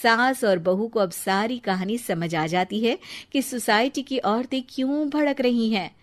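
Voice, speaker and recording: A woman's voice: a little high, unhurried. A woman narrator reading a scripted story for a Hindi cartoon.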